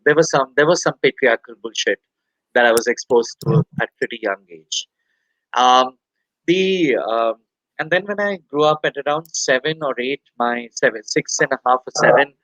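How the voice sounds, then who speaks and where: Man from india, 40s, interview guest, halting and soft, dry close sound. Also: medium pitch, no echo, low-quality recording. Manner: soft-spoken, halting, many fillers. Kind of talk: candid personal interview.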